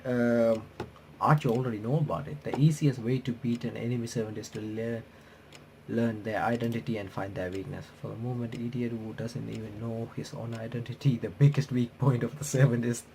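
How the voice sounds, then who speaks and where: Guy with a creaky voice reading game text and commenting casually, stumbles over words, bit of background noise. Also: medium pitch, steady tone, mellow. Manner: halting reading with stumbles and self-corrections. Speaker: host of a game playthrough and reaction channel.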